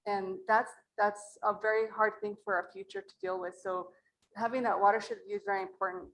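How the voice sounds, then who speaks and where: A woman from Mora, New Mexico, with a low voice, speaking earnestly and plainly as an acequia representative at a community meeting in a library meeting room. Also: little pitch movement, fairly quick, a bit bright, a little hiss or hum, rough recording.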